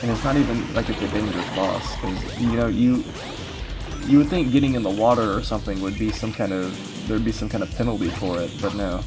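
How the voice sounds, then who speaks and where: Laid-back low-voiced man commentating gameplay. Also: brisk, low-quality recording. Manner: relaxed, rambling, filler-heavy explaining. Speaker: speedrunner commentating his run. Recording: game music playing loudly behind. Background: game music.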